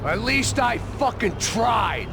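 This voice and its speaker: An angry vigilante confronts people slowly in a gravelly voice pitched very high. He is sardonic and foul-mouthed, like a crime game antihero, with music underneath. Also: very animated, noisy background, full character voice. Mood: furious, sardonic. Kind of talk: dramatic video game cutscene acting.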